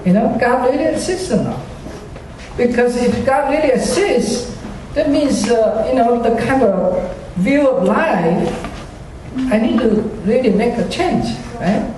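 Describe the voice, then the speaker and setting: A man with a high, mellow voice tells his faith story slowly, pausing often on fillers and chuckling at himself. He sounds distant from the microphone in a large, strongly echoing church hall. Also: lively intonation, a little hiss or hum. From China. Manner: hesitant, many fillers, chuckles often, self-deprecating. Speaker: speaker giving a personal faith testimony. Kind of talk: church testimony to a congregation. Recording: echoey hall, speaker far from mic.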